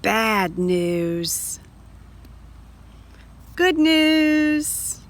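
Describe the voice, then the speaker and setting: A woman reads a storybook aloud for young children in a fairly high voice. Her pace is very slow and explanatory, with expressive weight on key words. A little noise sits behind her. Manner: explanatory, addressing young listeners directly. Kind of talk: read-aloud picture book narration.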